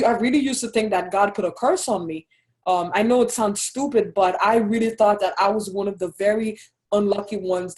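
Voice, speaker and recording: A young woman from Haiti with a Haitian accent, telling her own story as an interview guest in an earnest, soft-spoken way. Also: mid-range voice. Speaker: interview guest, Army captain. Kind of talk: casual personal story.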